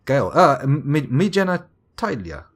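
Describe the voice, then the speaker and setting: A man's voice: fairly high pitch, normal speed. An English man streaming a game live, rambling and joking as he reads his chat aloud. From England. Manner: rambling, chatty, self-mocking, lively swings into exclaimed bits. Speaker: gaming streamer reading chat.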